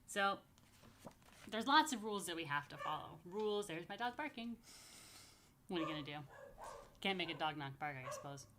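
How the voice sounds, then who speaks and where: A woman's voice: fairly low pitch, expressive, normal speed, long pauses, background noise. A woman rabbi leading a casual story time for young children, explaining things simply and repetitively with chatty, playful asides. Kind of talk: casual, child-friendly religious talk with blessings. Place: apartment.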